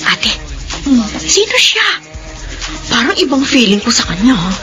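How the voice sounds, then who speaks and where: An actress playing a chatty, nosy younger sister in a radio drama. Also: female voice, fairly high pitch, very animated, normal speed, over music. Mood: excited.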